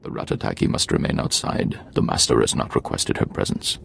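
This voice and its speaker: A forbidding male gatekeeper in a space-fantasy game. His voice is extremely low and thick with rasp, the delivery formal and cold. The sound is very dry. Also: fairly quick, no room sound, exaggerated voice. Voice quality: raspy. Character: forbidding servant guarding a dark lord's door. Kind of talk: video game voice acting.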